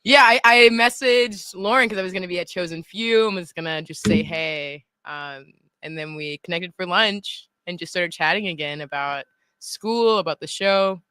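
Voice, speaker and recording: Young female radio guest, twenties, bright, smooth, laughs easily, close and dry. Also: lowish voice, normal speed, dry recording, low-quality recording. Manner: giggly, chatty. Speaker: music journalist guest. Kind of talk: casual radio interview. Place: radio studio.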